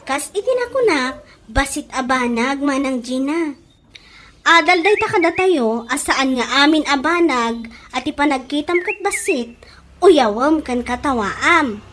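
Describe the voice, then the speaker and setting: A woman's voice: high-pitched, animated, a little slow, a bit bright, a little hiss or hum. A woman with an Ilocano Filipino accent playing a helpful, plain-spoken young caregiver trainee in a radio drama. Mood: gently reproving.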